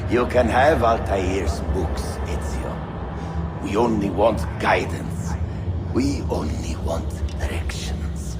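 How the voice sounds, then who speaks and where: An actor playing an arrogant, taunting villainous captain in a cinematic video game, with theatrical delivery. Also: male voice, lowish voice, lively intonation, slow, loud music behind the voice. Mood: sly, grudging. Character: arrogant, sneering villainous Templar captain commanding soldiers.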